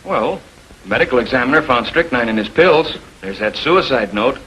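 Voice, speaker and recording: An actor playing a skeptical police lieutenant in a 1940s filmed crime drama, talking in a blunt, slangy way. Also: male voice, medium pitch, expressive, normal speed, sharp tone. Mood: incredulous. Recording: noisy old 1940s film soundtrack.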